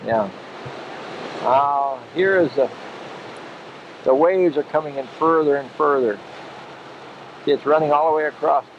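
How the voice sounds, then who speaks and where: A man's voice: fairly high pitch, a little slow, long pauses, noisy background. Chatty man narrating a seaside home video. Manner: chatty, repeats himself, dry humor. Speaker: home video camera operator. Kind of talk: casual running commentary while filming. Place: outdoors on a rocky foggy seacoast. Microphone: built-in camcorder mic. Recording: muffled camcorder audio with loud surf. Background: surf and waves.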